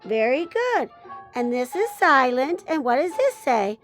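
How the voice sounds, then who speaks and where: A woman acts out a kind little boy from a children's story. The delivery is patient and instructive, encouraging at every step, in a gentle teaching rhythm. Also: high-pitched, very animated, unhurried, faint music behind.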